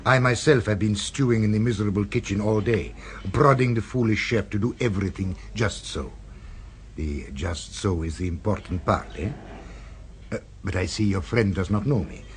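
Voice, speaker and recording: An actor playing a suave, sinister restaurant owner in a radio drama, with a smooth, purring and insinuating delivery. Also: male voice, on the low side, moderate pace, slight background noise, natural voice. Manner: silky and insinuating, tags questions with "eh". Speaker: restaurant proprietor.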